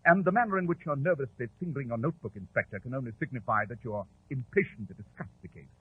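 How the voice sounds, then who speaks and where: A man's voice: medium pitch, animated, quick pace. An actor playing a brilliant, pompous male detective in a 1940s radio drama. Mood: superior, incisive. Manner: crisp, pompous, dryly sardonic. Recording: tinny, muffled old 1940s radio recording.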